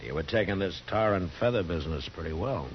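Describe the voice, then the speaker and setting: A low, commanding American lawman from a 1950s radio Western. He is terse and steady, yet swings widely in pitch. The old recording is dull, with music around it. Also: brisk, a little hiss or hum. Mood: stern, terse. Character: stern, steady frontier US marshal, a grown man. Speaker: lead actor in a radio Western. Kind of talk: 1950s radio drama acting.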